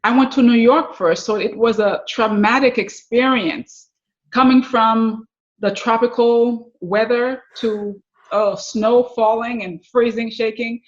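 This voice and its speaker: A middle-aged woman with a Haitian accent telling personal stories as an interview guest, often halting and repeating words. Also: a little high, steady tone. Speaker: podcast guest, success coach. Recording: slightly dull, lo-fi connection.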